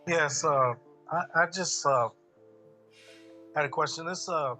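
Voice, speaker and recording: A man from the audience asking the panel a question, hesitant and halting. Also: a little high, bright, forceful tone, soft background music. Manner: hesitant, with many pauses and fillers.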